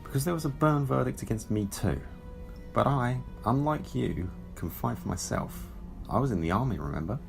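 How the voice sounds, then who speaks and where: An actor playing an urgent, stern Time Lord ex-soldier in an audio drama, insistent and formal. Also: male voice, mid-range voice, expressive, normal speed, vocal fry, over music.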